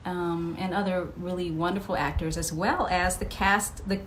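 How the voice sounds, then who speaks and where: A woman chatting casually as a podcast guest, telling personal anecdotes in an expressive way. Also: on the low side, moderate pace, slight background noise. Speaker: interview guest. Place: live podcast taping.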